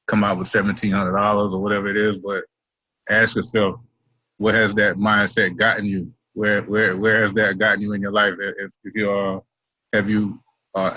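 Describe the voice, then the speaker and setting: Low monotone guy on a call, dry sound, earnest, lots of uhs. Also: dry recording, rough recording. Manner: earnest and a bit halting, with fillers. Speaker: caller giving a testimonial. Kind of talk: casual testimonial. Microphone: video call.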